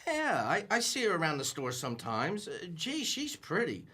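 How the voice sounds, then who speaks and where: A man's voice: a little high, wildly swooping pitch, moderate pace, bright, forceful tone, exaggerated voice. A young man playing a bashful, timid store clerk in a radio play, stammering and repeating his words. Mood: nervous. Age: young adult. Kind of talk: 1940s radio acting.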